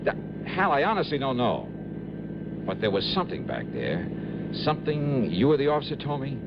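An actor playing a dogged, suspicious American investigator in an old radio drama, with clipped, insistent delivery and musing hesitations. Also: male voice, medium pitch, huge pitch swings, normal speed, vocal fry, very noisy. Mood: urgent. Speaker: lead actor and narrator of a detective radio drama. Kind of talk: 1950s-60s American radio drama acting. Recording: dull vintage radio recording with music.

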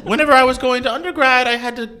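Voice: sits high, steady tone, racing, sharp tone, smooth. A performer playing a chatty male wizard Koopa in an improvised comedy interview, rambling and trailing off with "you know". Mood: deadpan rambling. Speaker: guest in character.

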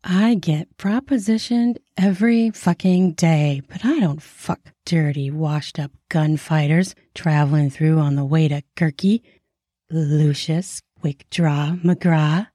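A man's voice: a little high, slow pace, warm tone, no room sound, studio quality, voice pushed up. A man voicing a bawdy, sly frontier barkeep woman with a Minnesota accent and a teasing, drawling delivery. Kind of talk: audiobook character voice.

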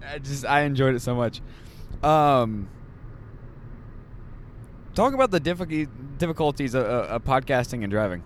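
A man's voice: medium pitch, expressive, moderate pace, pauses often. Joking man podcasting from a car. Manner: joking, rambling. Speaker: podcast host. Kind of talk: casual chat. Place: moving car. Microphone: handheld mic passed around. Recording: road noise from a moving car.

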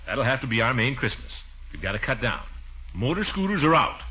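An actor playing a pompous, blustery, soft-hearted middle-aged uncle in a 1940s radio comedy. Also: male voice, mid-range voice, big pitch swings, fairly quick. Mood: blustering. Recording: muffled 1940s radio recording.

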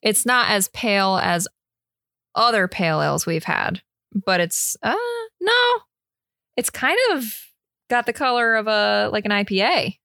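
Brief: female podcast co-host, mid pitch, dry close sound, chatty note-reading. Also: animated, a little slow, gravelly, bright, forceful tone, dry recording. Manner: chatty, reads notes with easy asides. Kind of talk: casual chat with read-out research notes.

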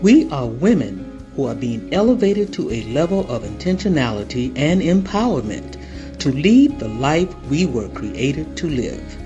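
A smooth announcer reads a scripted podcast intro, and the pitch moves a lot. Music plays clearly underneath. The sound is dull and lo-fi. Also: sing-song, measured pace.

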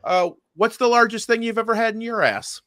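A man who works as a comedian, co-hosting a podcast with casual, crude joking and a stammering, sometimes loud delivery. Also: very high-pitched, a little fast, no echo, clean studio sound. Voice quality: loud, given to yelling. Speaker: stand-up comedian.

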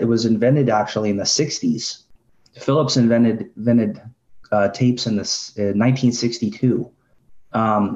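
A middle-aged man co-hosting a podcast, debating in casual, slangy, animated storytelling style. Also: medium pitch. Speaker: podcast co-host. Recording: roomy, dull lo-fi sound.

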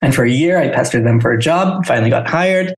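A man's voice: medium pitch, expressive, fast. A man from Singapore, a guest on a podcast, telling his story casually and hedging with "you know" and "sort of". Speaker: guest, agency founder.

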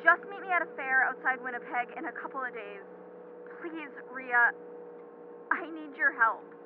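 A woman's voice: high voice, normal speed. A woman playing an evasive young woman in an audio drama, heard through a telephone. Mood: apologetic, urgent. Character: evasive young woman in danger, asking for help. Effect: telephone filter. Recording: tinny phone line with clear noise.

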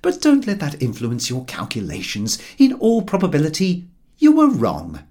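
A man's voice: on the high side, huge pitch swings, moderate pace, darkish tone, voice pushed up. A man voicing a sardonic, grumbling Englishman in a storybook reading, with sarcastic, emphatic, pointed delivery. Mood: dry, insistent.

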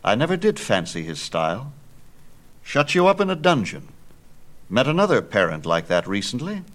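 A man's voice: mid-range voice, big pitch swings, moderate pace, fairly bright tone, voice pushed up. A man voicing a bold young Greek hero in a storybook, declamatory and theatrical, triumphant and wry. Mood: wry, breezy. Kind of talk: dramatic audiobook storytelling.

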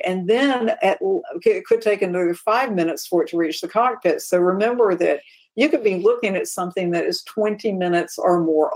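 A woman's voice: lowish voice, darkish tone. A woman co-hosting an aviation talk show, explaining points in a casual but emphatic way. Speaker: podcast co-host.

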